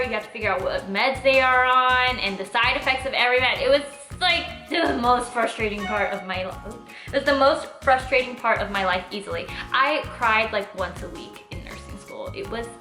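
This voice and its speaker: A Californian woman in her twenties chatting casually in a Q&A vlog, informal and emphatic. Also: on the high side. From Orange County, California. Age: 20s. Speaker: travel vlogger and nurse. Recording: echoey room with faint music.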